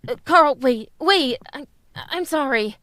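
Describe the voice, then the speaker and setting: Actress as flustered young mother, highly expressive. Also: slow pace, no echo, studio quality, full character voice. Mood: apologetic, tense. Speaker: radio drama actress. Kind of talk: old-time radio drama acting.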